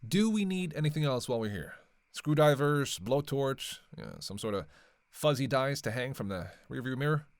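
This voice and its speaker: A sardonic male vampire, played by a man in an audio roleplay, recorded close and dry. He talks in a casual, flirty, sarcastic way, needling his listener with sweary banter in a mid-pitched voice. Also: expressive, normal speed, no echo, lightly acted. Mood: teasing. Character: sardonic, flirtatious, long-lived male vampire killer, playfully teasing. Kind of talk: casual roleplay audio acting, one side of a dialogue.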